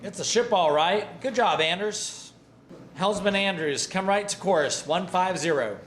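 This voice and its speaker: Man acting a courteous, higher-pitched submarine officer. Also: expressive, unhurried, fairly bright tone. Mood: businesslike. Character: courteous, efficient submarine executive officer, male. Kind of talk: scripted wartime naval drama. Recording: faint background noise.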